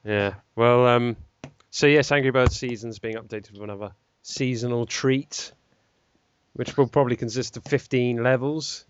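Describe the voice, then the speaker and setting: A man's voice: mid-range voice, fairly even intonation, normal speed, lo-fi sound, slight background noise. A man hosting a casual podcast chat, joking and laughing often as he moves the talk along. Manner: jokey, laughs often, leads the topics. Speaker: podcast host.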